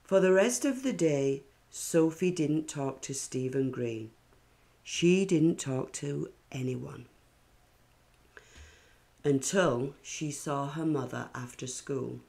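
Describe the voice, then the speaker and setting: A woman with a low voice narrates a picture book for children. Her reading is extremely unhurried and deliberate, with an expressive storyteller's delivery. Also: vocal fry.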